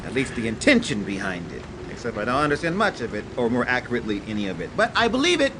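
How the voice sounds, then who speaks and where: An actor playing an earnest young adult son, deferential and declamatory, in a comic radio theatre style. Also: male voice, medium pitch, wildly swooping pitch, fast talker, noisy background. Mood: earnest, naive. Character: earnest, eager, adoring grown son.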